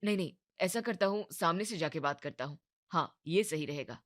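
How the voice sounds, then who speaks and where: Woman voicing a weary, hesitant young shopkeeper man, low-pitched. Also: animated, brisk, natural voice. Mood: hesitant, torn. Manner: hesitant, resigned. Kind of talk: Hindi animated moral story dialogue.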